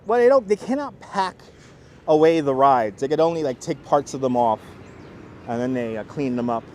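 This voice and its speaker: A man with a Brooklyn New York accent, chatting casually to live-stream viewers as a local guide, speaking clearly and deliberately. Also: mid-range voice, expressive, dark tone. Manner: clear, deliberate enunciation, rambling. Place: outdoors on city streets by the seaside. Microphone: wireless clip-on mic. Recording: outdoor urban street noise.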